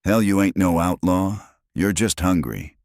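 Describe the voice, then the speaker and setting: A man voicing a weathered, wry male marshal in a slow drawl with a gravelly edge. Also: deep voice, darkish tone, no echo, clean studio sound, voice pushed up.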